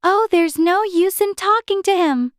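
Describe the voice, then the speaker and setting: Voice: extremely high pitch, measured pace, no echo, studio quality, voice pushed up. A narrator voicing a curious, prim and polite little girl in a storybook, earnest and insistent. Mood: exasperated.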